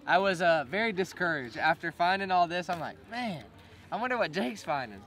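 A young man with a bright, slightly high voice talks through his finds at an outdoor picnic table. He is upbeat and chatty and laughs easily. Faint outdoor noise sits behind him. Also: animated, brisk. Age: young adult. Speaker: treasure-hunting diver and vlogger. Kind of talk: casual show-and-tell vlog.

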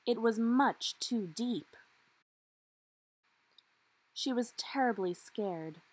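A woman narrates a children's picture book at an unhurried pace. Her voice is slightly husky and sits close and dry, and she stresses key words with dramatic emphasis. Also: fairly high pitch, darkish tone, no room sound. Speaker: storybook reader. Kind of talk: expressive children's read-aloud narration.

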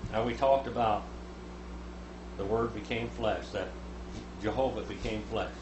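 A man in his seventies teaching the Bible at a lectern, reading scripture aloud and voicing its speakers louder. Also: fairly low pitch, unhurried. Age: 70s. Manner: reads scripture aloud, rising loud for quoted speakers. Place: small room with a lectern. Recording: echoey room, noticeable hum.

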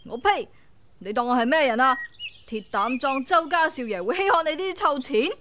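A woman's voice: high voice, expressive, moderate pace, bright, forceful tone, slight background noise. A woman voicing a defiant, cheeky young boy in a radio drama. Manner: scornful, defiant. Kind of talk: 1990s radio drama acting.

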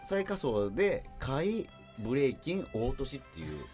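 A man hosting a radio show with lively banter and announcements. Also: mid-range voice, a little slow, faint music behind.

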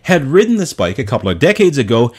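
A middle-aged man narrating his motorcycle restoration in a scripted voice-over, with a wry, animated delivery. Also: mid-range voice, fast, husky.

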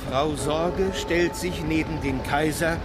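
An actor playing a reverent, florid court chronicler, narrating solemnly and deliberately in a radio drama. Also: male voice, on the high side, over loud music.

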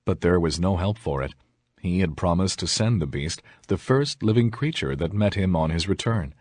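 Low, husky male storyteller. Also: no room sound. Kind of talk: scripted fairy tale narration.

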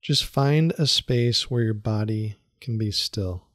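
A man leading a guided meditation in a soothing, unhurried manner with long pauses and repeated phrases. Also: on the low side, fairly bright tone, no room sound, studio quality. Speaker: meditation guide, yoga teacher. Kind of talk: guided meditation, calm instruction.

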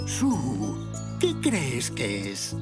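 A man voicing an older grandfather zebra in a children's cartoon dub, gentle, patient and a little hesitant. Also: medium pitch, huge pitch swings, very slow, over music, exaggerated voice. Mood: doubtful.

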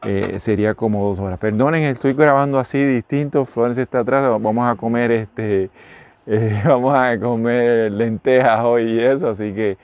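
A man's voice: medium pitch, moderate pace, darkish tone, smooth. A middle-aged man with a Puerto Rican accent, chatting casually to his phone camera inside a motorhome, rambling and correcting himself as he goes. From Puerto Rico. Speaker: vlogger. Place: inside a motorhome, small room. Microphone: phone at arm's length.

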